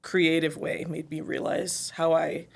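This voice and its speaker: A woman's voice: low-pitched, level tone, unhurried, gravelly. A young woman from Zambia, an R&B singer, chatting as a guest in an interview, thoughtful and full of fillers. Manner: thoughtful, many fillers and "like", laughs often. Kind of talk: casual interview chat.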